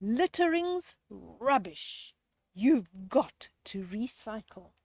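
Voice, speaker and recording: Lady doing a preachy green cape superhero for a kids book, kinda high voice, slow and emphatic, telling you off. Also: big pitch swings, gravelly, darkish tone, husky, no room sound, full character voice. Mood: admonishing. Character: green-caped male eco superhero, urging and preachy.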